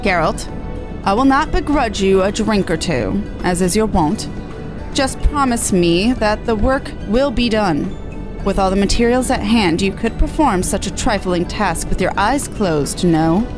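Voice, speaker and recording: A woman's voice: medium pitch, animated, measured pace, music in the background, natural voice. A woman reading game dialogue as a haughty, wordy male scholar with formal, sarcastic airs. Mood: composed, superior. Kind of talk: game dialogue read aloud in character.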